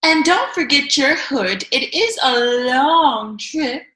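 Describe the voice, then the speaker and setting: Woman playing a slow, high, doting storybook mother. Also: expressive, pushed and bright, reverberant, heavily acted. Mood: fond, fretful. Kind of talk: comic radio play acting.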